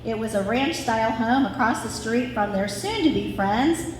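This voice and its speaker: A woman's voice: fairly high pitch, lively intonation, measured pace. A woman in her forties from Ohio reads a family story with chatty, sentimental asides at a live gathering. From Ohio, United States. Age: 40s. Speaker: daughter reading family story at anniversary event. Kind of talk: scripted family narration with informal asides. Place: large reverberant room at a live gathering. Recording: echoey hall, distant, clearly noisy.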